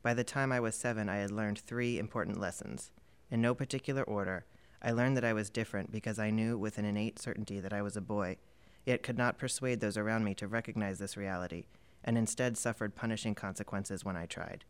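A man with a somewhat low, smooth voice reads a first-person memoir. He tells the story in a calm, steady way. His tone stays clean and resonant throughout. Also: brisk. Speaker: reader.

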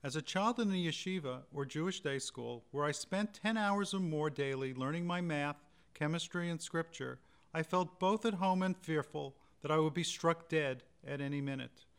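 A man with a fairly high, clear voice reads a personal memoir quietly and reflectively. His tone is crisp and resonant. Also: normal speed, few pauses. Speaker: reader. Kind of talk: first-person memoir reading.

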